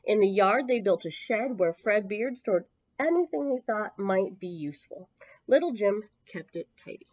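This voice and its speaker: A woman storyteller reading a picture book to young children, with expressive emphasis and drawn-out words. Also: medium pitch. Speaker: children's storyteller at a public library. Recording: dull lo-fi, close dry sound.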